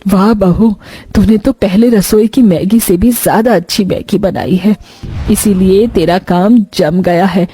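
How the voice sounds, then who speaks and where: Woman as a low, approving older mother-in-law. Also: fairly even intonation, a little slow, mellow, breathy edge, no room sound.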